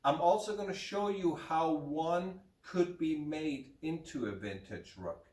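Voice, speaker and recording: A middle-aged man with a slight German accent talks plainly and frankly, pitched a little high for a man. He is heard from a distance across a roomy, echoey indoor space. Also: expressive, measured pace, darkish tone. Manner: frank, plain-spoken, with fillers. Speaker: rug dealer. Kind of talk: casual talk to camera. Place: room with hanging curtain, indoors. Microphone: far camera. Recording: roomy, distant camera sound.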